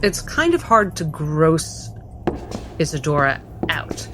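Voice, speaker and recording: A woman playing a tabletop roleplaying game, narrating her character in deliberate, measured phrases. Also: low voice, big pitch swings. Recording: music behind the voice.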